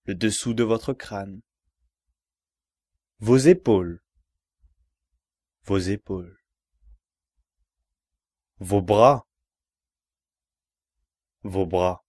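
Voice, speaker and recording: Slow-spoken man guiding relaxation. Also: lowish voice, a little mellow, no echo, studio quality. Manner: measured, repetitive step-by-step instructions. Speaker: yoga nidra relaxation guide. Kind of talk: guided relaxation instruction.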